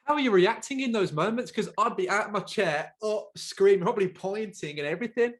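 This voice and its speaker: A man's voice: high voice, expressive, fairly quick. Eager podcast host, a man. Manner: eager, short affirmations. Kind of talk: casual interview chat.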